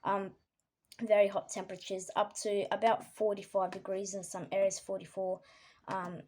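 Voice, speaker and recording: An Australian woman with a croaky voice from a cold, giving a casual weather forecast with many ums and frequent throat clearing. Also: medium pitch, monotone, normal speed, a little mellow, a little hiss or hum.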